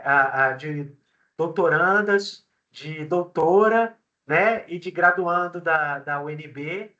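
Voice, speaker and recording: A male professor introducing a guest speaker at a university seminar. He speaks in a fairly high, bright voice, measured and full of small fillers, and the video call audio sounds dull and lo-fi. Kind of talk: formal introduction.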